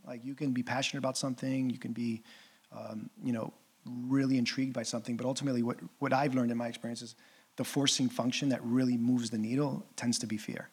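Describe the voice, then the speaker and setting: A man's voice: mid-range voice, level tone, fast, a little mellow, slightly rough. A man moderating an entrepreneurs' panel, encouraging and enthusiastic, with plenty of ums and restarts. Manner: enthusiastic, filler-heavy, encouraging.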